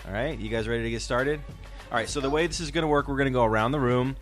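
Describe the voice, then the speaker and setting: A man's voice: medium pitch, very fast, soft background music. A man hosting a casual podcast discussion, talking in an explanatory and encouraging way. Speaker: podcast host.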